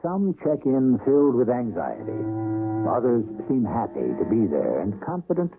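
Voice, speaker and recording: A man hosting a radio mystery series, narrating a script in a theatrical, deliberate way with rhetorical pauses. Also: mid-range voice, huge pitch swings, creaky, narrow, tinny audio. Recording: thin old radio sound, music underneath.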